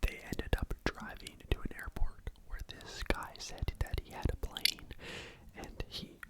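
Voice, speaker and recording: An ASMR host whispering a true crime story to the microphone in a casual, rambling way, often saying "like" and "you know.". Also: measured pace. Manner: soft unvoiced whisper throughout.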